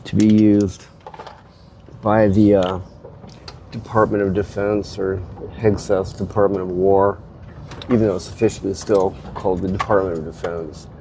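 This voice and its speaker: A man's voice: low-pitched, level tone, normal speed, dark tone, a little hiss or hum, poor audio. A man hosting a casual talk show, rambling with hesitant restarts, his voice stuffy from a head cold. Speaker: host. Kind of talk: casual spoken commentary. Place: car.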